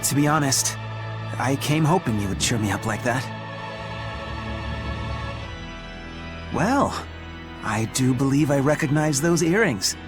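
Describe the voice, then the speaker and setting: A gallant young knight played by a man in a video game, earnest and formal at a middling pitch. Clear music plays behind his voice. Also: animated, normal speed, lightly acted. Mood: fond.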